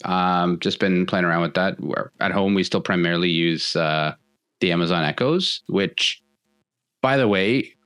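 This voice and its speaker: A man co-hosting a podcast, chatting in a relaxed way. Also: low voice, normal speed, clear, resonant tone, no room sound, studio quality. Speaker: podcast co-host. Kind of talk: casual podcast chat.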